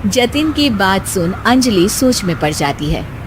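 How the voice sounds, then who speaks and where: A woman's voice: mid-range voice, sing-song, unhurried, background noise. A woman narrator reading a scripted moral story for a cartoon.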